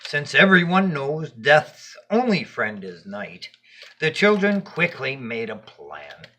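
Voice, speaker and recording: A man narrating a bedtime story in an expressive, dramatic and deliberate way. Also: medium pitch.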